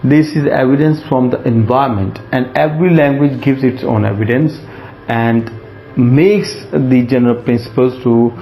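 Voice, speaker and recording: A man giving a recorded lecture, explaining slowly, repeating points and pausing with fillers. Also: medium pitch, highs cut off. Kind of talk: recorded lecture over slides.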